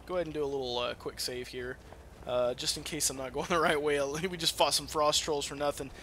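A man giving casual let's-play game commentary in an excitable, foul-mouthed manner. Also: fairly high pitch, a little fast, slight background noise. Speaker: gamer playing and commentating.

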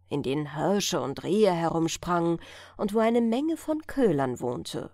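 A woman narrating a fairy tale as a bedtime audiobook, in a measured storytelling manner. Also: lowish voice, mellow, no room sound, studio quality.

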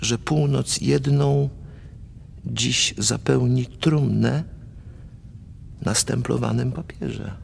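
An actor playing a morbid, romantic Polish count of fifty in a radio play of dramatic verse, brooding and theatrical. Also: male voice, medium pitch, very slow, creaky, pauses often, a little mellow, slight background noise, full character voice. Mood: grim, brooding.

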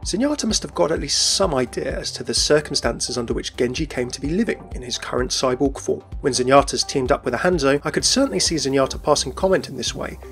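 Casting: male video presenter, quick scripted delivery, mid-pitched, faint music underneath. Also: expressive, vocal fry, a bit bright. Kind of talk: scripted narration for game lore video. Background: background music.

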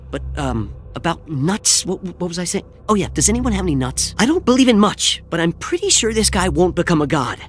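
A male actor voicing a nerdy, nervous young survivor in a video game, with a stammering, self-deprecating, rambling delivery. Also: high voice. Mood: awkward, rambling. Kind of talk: video game character voice lines.

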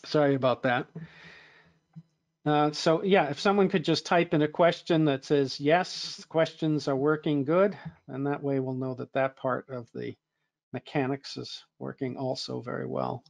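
A man moderating an online training webinar, slightly hoarse, talking in a relaxed, conversational way with fillers and restarts. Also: medium pitch, unhurried, no room sound.

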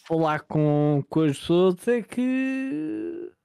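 A man, co-hosting a live stream in casual chat, with dry asides and the odd shouted exclamation. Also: sits high, big pitch swings, drawn-out words, creaky, smooth, no echo. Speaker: co-host.

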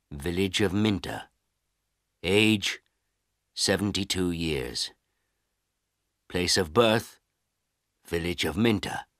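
A man reading a simple story for language learners as a narrator, in deliberate, clearly separated short phrases. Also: low voice, a bit bright, no echo, clean studio sound. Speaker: audiobook narrator.

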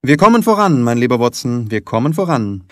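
A man voicing a brisk, analytical detective in an audiobook, articulate and emphatic, with deliberate phrasing. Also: mid-range voice, sing-song, darkish tone, no echo, clean studio sound, close to an everyday voice. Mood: pleased. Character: brisk, confident, analytical male detective, theatrical and keen. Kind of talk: audiobook character dialogue.

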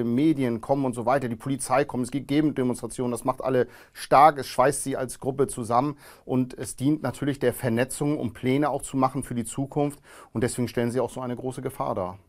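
A man in his thirties, a guest in a studio interview, answering in a calm, measured way with frequent hesitations. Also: mid-range voice. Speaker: interview guest, former neo-Nazi. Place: studio with grey backdrop.

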